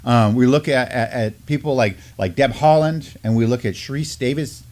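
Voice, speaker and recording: A man's voice: medium pitch, normal speed, darkish tone. Middle-aged American man, informal news host. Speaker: co-host of a Native news live stream. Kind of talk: informal news discussion, partly reading articles aloud. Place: small indoor studio, seated at a desk.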